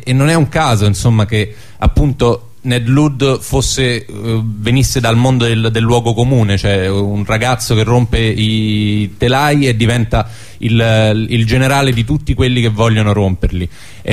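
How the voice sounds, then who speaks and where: A man speaking unscripted in a radio discussion, halting and repeating words as he searches for phrasing. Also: medium pitch.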